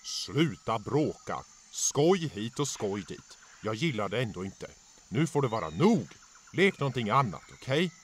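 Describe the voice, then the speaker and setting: A man's voice: fairly low pitch, big pitch swings, a little hiss or hum. A man voicing a stern lion king and strict father in a cartoon fan dub, authoritative and scolding.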